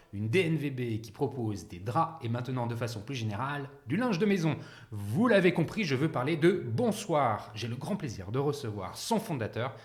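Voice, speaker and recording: A man hosting an interview podcast, speaking to the listener and guest in an informal way. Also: medium pitch. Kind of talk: podcast introduction and conversational interview.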